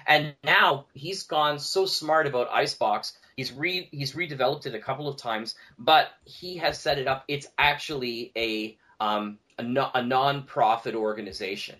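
A man's voice: medium pitch, normal speed, few pauses, bright, forceful tone. A Canadian man chatting casually as a podcast guest, telling anecdotes in a rambling way and often repeating his phrases. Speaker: podcast guest, audio drama producer. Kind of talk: casual interview chat, anecdotes.

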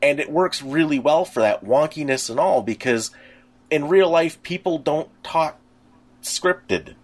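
A man's voice: a little high, lively intonation, unhurried. A man co-hosting a podcast, chatting casually and in a rambling way. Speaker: podcast co-host. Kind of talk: casual chat about pop culture.

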